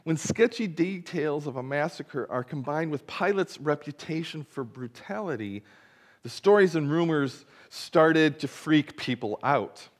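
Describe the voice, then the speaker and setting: Lively animated male preacher. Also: a little high, measured pace. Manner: lively storytelling preacher, asks the congregation questions. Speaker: pastor. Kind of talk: gospel reading and sermon. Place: church sanctuary.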